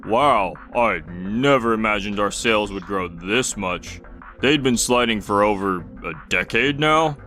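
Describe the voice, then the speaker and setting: A man voicing a measured, businesslike company boss in a dubbed comic drama. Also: on the low side, expressive, bright, forceful tone, faint music behind. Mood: pleased. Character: measured, businesslike male company boss, middle-aged or older.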